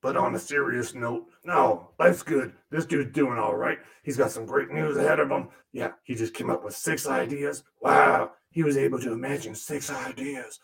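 A foul-mouthed demon puppet grumbles and sneers in a gravelly, fry-laced voice, as a man puts him on. He sits at a middling pitch and rambles with crude sarcasm, griping about the man who works him. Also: moderate pace, few pauses, fairly bright tone. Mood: sarcastic. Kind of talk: comic monologue in character.

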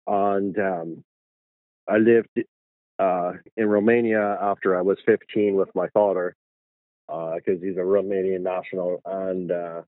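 Deep-voiced Irish man on a phone line. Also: no echo. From Ireland. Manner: hesitant, many fillers and restarts. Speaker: guest. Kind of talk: telling a personal story.

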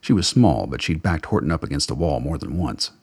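A male narrator with an extremely deep voice reads dramatic scripted narration. The tone is husky with a creaky grain, recorded very dry. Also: animated, brisk, a little mellow, dry recording.

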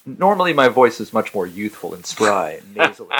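Man, low voice, slightly gravelly, halting with fillers, dry joking podcast guest. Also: big pitch swings, a bit bright, a little hiss or hum. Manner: dry self-mocking humor, halting with fillers. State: voice roughened, gravelly after a rough week. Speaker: guest poet and novelist. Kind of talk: casual podcast chat.

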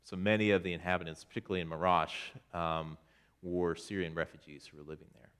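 Male church elder, deep low voice, casual slideshow report with many restarts. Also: steady tone, quick pace, a bit bright, clean tone. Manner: conversational, with many restarts, pointing at photos. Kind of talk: informal presentation to a congregation.

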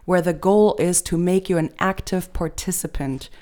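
A woman's voice: fairly low pitch, fairly even intonation, moderate pace, a little mellow. A young woman from Germany, a native German speaker, explaining things casually in English with plenty of examples. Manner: explanatory, gives many examples.

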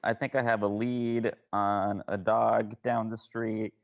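A man playing a meek young news intern in improvised comedy, speaking slowly and hesitantly. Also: fairly low pitch, flat delivery, creaky.

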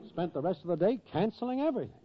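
A man's voice: sits high, animated, rapid-fire, heavy background noise. A glib streetwise schemer in a 1940s radio comedy. He is breezy and evasive, with slangy talk that bounces up and down. The vintage recording sounds dull. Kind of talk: 1940s radio sitcom acting.

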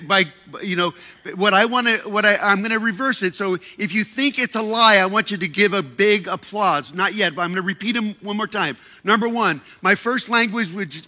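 Male speaker for a group talk: playful and self-mocking, bright and sharp in tone, stumbles and repeats as he goes. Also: high-pitched. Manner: playful, joking, often repeats and restarts words. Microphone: handheld microphone.

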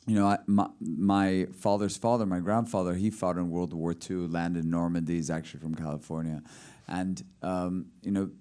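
A man's voice: deep voice, warm tone. A man from Colombia, a film director, answering questions at a screening and repeating words as he thinks. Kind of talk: post-screening Q and A.

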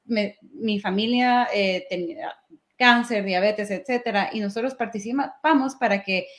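A woman's voice: mid-range voice, unhurried, a bit bright. A young adult woman speaking as an interview host, conversational and engaged, often adding short tags that seek agreement.

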